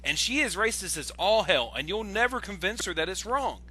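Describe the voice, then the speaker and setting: Excitable high-pitched man, sharp bright voice. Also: fairly quick, breathy edge. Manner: excitable, exasperated, stammering when worked up, swearing. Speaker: guest commentator on an online video. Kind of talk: casual voice-chat ranting. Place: online voice call.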